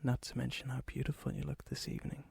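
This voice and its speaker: A man playing a lonely, gently teasing vampire in an ASMR roleplay, with a soft, breathy voice that often drops into a whisper. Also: medium pitch, completely flat delivery, no room sound, heavily acted. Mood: shy, friendly. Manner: slow, intimate, hesitant, gently teasing.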